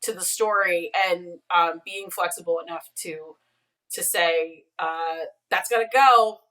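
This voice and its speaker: A woman's voice: fairly low pitch, measured pace, bright, forceful tone. Woman chatting, distant from the mic. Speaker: podcast co-creator and showrunner, interview guest. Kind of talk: casual interview chat. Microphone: video call, away from the mic. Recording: distant mic on a video call.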